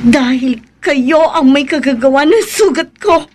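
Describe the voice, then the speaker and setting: A woman's voice: sits high, a little slow, darkish tone, slight background noise. A woman voicing a frightened young village woman who has been hexed, stammering and pleading through sobs in a radio drama. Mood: fearful.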